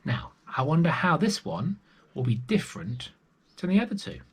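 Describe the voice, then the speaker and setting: A man's voice: fairly high pitch, big pitch swings, moderate pace, breathy edge. A man reading a picture book to young children expressively, with chatty asides to the listeners. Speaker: storybook reader for early years children.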